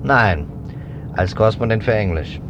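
A determined police investigator in a radio play. His voice is low and he lays out his suspicions step by step. The sound is dull, with heavy rumbling noise behind him. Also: animated, normal speed. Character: dogged, suspicious male police investigator hunting deserters. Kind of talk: German radio play acting. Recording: noisy dull recording with rumbling traffic.

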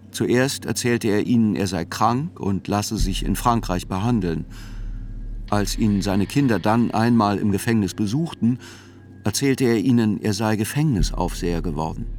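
A man's voice: on the low side, darkish tone, slight background noise, natural voice. An actor playing a sober, reflective male reporter who narrates a radio drama in literary reportage style.

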